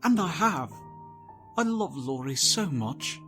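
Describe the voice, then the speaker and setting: A man's voice: fairly high pitch, very animated, slow, sharp tone, faint music behind, full character voice. An actor playing a sincere, devoted father pony in an emotional audio drama, speaking earnestly and tenderly. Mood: tender, resolute. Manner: earnest, heartfelt.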